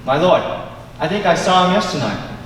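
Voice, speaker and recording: A man's voice: a little high, big pitch swings, normal speed, a bit bright. A young man playing an earnest, formal Elizabethan courtier, declaiming grandly in a stage play. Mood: solemn, urgent. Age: young adult. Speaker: student stage actor. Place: large indoor school auditorium, on stage. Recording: echoey auditorium, distant mic, faint noise.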